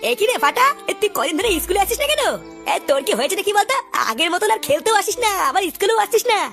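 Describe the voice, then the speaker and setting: A woman's voice: very high-pitched, animated, fast, a bit bright, soft background music. A woman with a West Bengal Bengali accent voicing a nosy, tattling schoolboy in a comedy cartoon, inquisitive and insistent.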